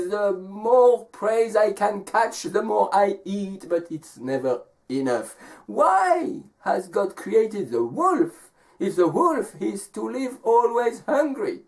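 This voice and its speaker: A storyteller as a slow, pleading hungry wolf. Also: mid-range voice, sing-song, pitched above the performer's own voice. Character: hungry male wolf, plaintive but talkative.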